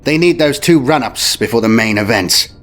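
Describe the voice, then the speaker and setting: A man's voice: medium pitch, animated, normal speed, fairly bright tone, voice pushed up, natural voice. A man voicing a shrewd veteran fleet admiral in an audio war story, with clipped, declamatory military phrasing. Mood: shrewd, resolute. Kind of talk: dramatic audio story character. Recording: music behind the voice. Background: music.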